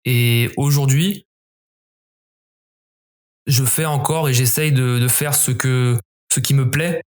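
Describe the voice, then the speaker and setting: A man from southern France speaks as an interview guest. His voice sits at a middle pitch, and he talks in a measured, reflective way, often circling back to restart a phrase. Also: fairly even intonation. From southern France, near Montpellier. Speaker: guest, former special forces member. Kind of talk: podcast interview answers.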